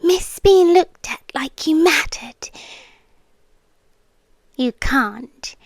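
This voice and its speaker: Woman playing a slow, high-voiced British immortal seductress. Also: animated, dry recording, studio quality, full character voice. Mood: softly taunting.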